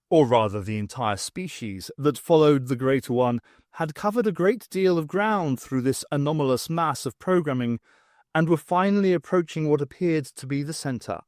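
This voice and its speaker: A man narrating an audiobook in a measured, unhurried storytelling manner, close to the mic. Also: a little high, dry recording.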